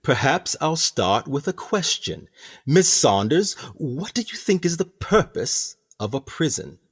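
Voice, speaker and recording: A man playing a smooth, sinister prison director in a radio play, courteous, formal and theatrical in his eloquent, measured speech. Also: a little high, very animated, heavily acted. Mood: proudly explaining. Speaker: actor in an audio drama.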